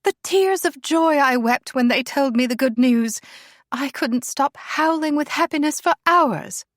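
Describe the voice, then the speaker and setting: A woman's voice: high voice, animated, moderate pace, no room sound, studio quality, pitched above the performer's own voice. A woman voicing a flamboyant aristocratic mother, grand and over-the-top. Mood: gushing.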